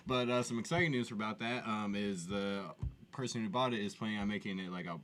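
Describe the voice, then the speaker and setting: A man with a fairly low voice talks casually as a podcast co-host. His delivery is conversational and slangy, like informal chat between friends. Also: fairly quick, a bit bright.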